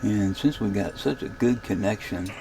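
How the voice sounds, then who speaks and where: A man giving an informal, unhurried screen demonstration, thinking aloud as he goes, recorded inside a camper. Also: lowish voice. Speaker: ham radio demonstrator and channel host. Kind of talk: casual screen-demo narration. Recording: clear background electrical and radio noise. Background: radio interference noise.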